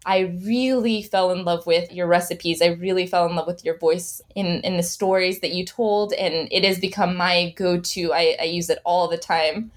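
A woman's voice: lowish voice, fairly even intonation, normal speed, hardly any pauses. A woman hosting a podcast, talking in a chatty way with fillers and restarts. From United States. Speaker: podcast host. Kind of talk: podcast interview.

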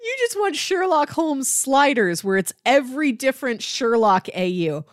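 A woman's voice: sits high, sing-song, normal speed, no room sound. Woman chatting casually, close dry sound. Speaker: podcast co-host. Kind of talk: casual chat about a TV series.

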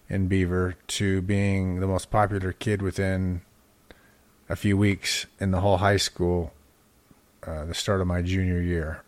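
A middle-aged man from Utah with an American accent, telling personal stories casually as a podcast interviewee. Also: low-pitched. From Utah, United States. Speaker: podcast host being interviewed as guest.

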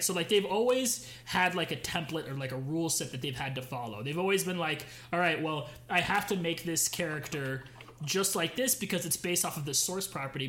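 A young man with a fairly high, bright voice tells a long story in a casual podcast chat. He keeps restarting his sentences and leans on fillers as he goes. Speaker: podcast co-host.

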